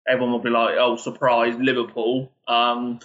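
A man's voice: medium pitch, monotone, moderate pace, fairly bright tone, slight background noise. A man with a mid-range voice is a guest on a podcast, rambling hesitantly and often restarting his sentences. His voice comes through a dull, lo-fi remote line. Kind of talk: casual podcast chat.

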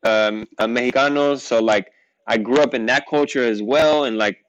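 A man's voice: medium pitch, moderate pace, dry recording, low-quality recording. A young man whose first language is Portuguese, a rapper talking casually as a guest, rambling and filling with "like" and "you know what I mean.". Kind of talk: casual interview chat.

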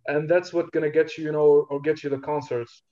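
A man from Algeria, a rapper guest talking in a relaxed interview, hesitant and full of fillers. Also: on the high side, fast, lo-fi sound. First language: Algerian Darija. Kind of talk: casual interview talk.

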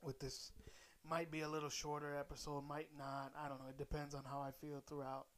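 A man hosting his own podcast in a casual, rambling way, a little hoarse as he comes down with a cold. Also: fairly high pitch, monotone, fairly quick. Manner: rambling, loose, swears casually. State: slightly hoarse, coming down with a cold. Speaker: podcast host. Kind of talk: casual solo podcast chat.

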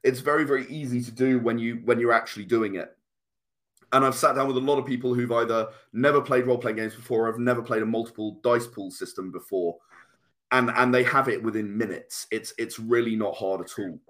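A man with a British accent and a mid-range voice talks through an explanation as a guest. He is earnest and conversational, often pausing to restart or rephrase his sentences. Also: medium pitch, steady tone, fairly bright tone. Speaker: guest, tabletop RPG and comic creator.

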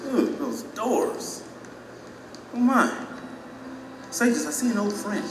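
An actor playing a self-important, naive and eager former mayor in an audio drama, pompous and full of wonder, in an echoey hall. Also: male voice, extremely high pitch, expressive, takes it slowly, far from the mic, noisy background, heavily acted. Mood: eager, awed. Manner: pompous, wondering, hesitant when unsettled. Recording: echoey hall, music behind.

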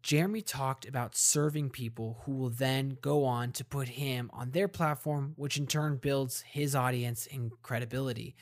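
A podcast host summarizing a guest's point in calm, scripted narration. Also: medium pitch, normal speed, hardly any pauses. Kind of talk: scripted narration between clips.

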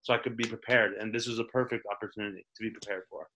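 A young man from the Toronto area talks about his comedy career in a loose, rambling way, full of restarts and casual swearing. His voice is bright and forward. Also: on the low side, steady tone, very fast, low-quality recording. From Toronto area, Canada. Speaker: stand-up comedian guest. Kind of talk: casual interview chat.

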